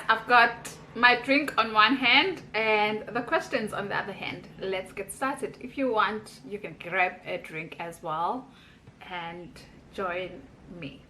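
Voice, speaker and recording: A woman's voice: medium pitch, bright, forceful tone, slight background noise. A South African woman in her thirties, chatting casually as she reads out questions and answers them in a vlog. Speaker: YouTuber. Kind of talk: casual get-to-know-me vlog.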